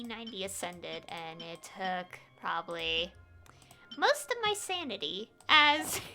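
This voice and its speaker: Woman, game streamer, casual rambling commentary, bright voice, lively swinging pitch, chatty. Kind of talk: casual live gameplay commentary. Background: game music.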